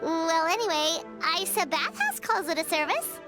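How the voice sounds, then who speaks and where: Casting: tiny cheeky fairy companion for a game; high, squeaky, very animated. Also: female voice, moderate pace, over music. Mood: chirpy, curious. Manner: chirpy, expressive, squeaky cartoon delivery. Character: small, perky, cheeky childlike fairy companion girl. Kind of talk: animated video game voice acting.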